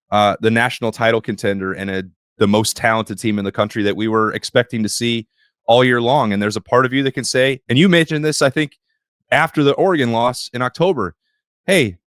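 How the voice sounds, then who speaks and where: A man hosting a sports podcast, slightly hoarse, talking in a wry, opinionated way. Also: mid-range voice, fairly bright tone, no room sound, studio quality. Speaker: podcast host.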